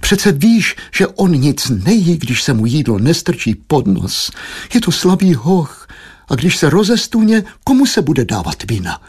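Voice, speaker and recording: A man's voice: very animated, darkish tone, slightly rough. A man voicing an anxious, doting wife and mother in an audiobook, moaning and fretful, full of insistent questions. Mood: plaintive worrying. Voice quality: high, plaintive, moaning.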